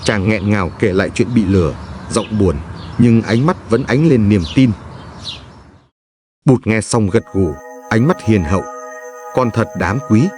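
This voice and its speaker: A man narrates a folk fairy tale in a fairly low voice. He reads as a scripted storyteller for a cartoon, with music clearly playing behind him. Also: unhurried, darkish tone. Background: background music.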